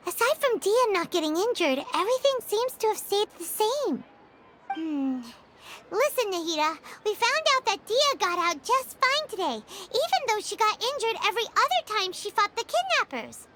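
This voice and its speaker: A woman voicing a tiny, childlike, excitable fairy companion in an anime video game, exclamatory and dramatic. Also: very high voice, very noisy. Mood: curious. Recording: background music behind the voice.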